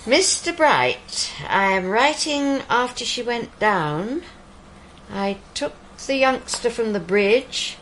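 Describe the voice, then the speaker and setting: A woman acting a dry, witty police pathologist in a radio drama, with a deadpan, literate delivery. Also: on the high side, slow, a little hiss or hum, poor audio. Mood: dry, wry.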